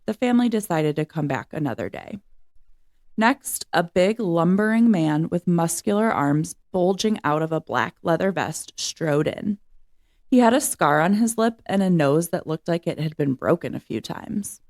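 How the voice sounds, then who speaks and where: Woman reading a story aloud, lower-pitched, creaky. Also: a little mellow. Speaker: reader of a children's book. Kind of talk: scripted story narration, read aloud.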